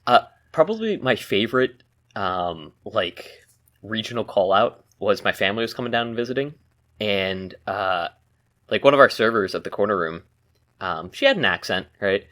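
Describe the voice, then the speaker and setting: A man co-hosting a casual movie podcast, telling stories in an animated way and laughing often. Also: fairly low pitch, moderate pace. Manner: animated storyteller, giggly. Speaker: podcast co-host. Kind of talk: casual film podcast chat.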